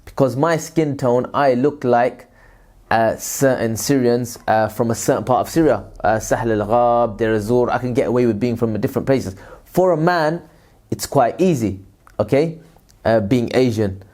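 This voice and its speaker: A man's voice: mid-range voice, normal speed, darkish tone. A man who works in aid, answering viewers' questions in a casual vlog, with a loose, rambling delivery full of fillers and religious phrases. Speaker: aid worker and vlogger.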